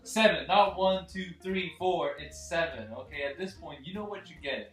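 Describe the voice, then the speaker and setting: A man's voice: a little high, normal speed, a bit bright, far from the mic. A man chatting casually about films as a panelist, joking and swearing freely. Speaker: panelist on a film review. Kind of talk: casual chat, opinionated film talk. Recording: echoey room, off mic, music behind.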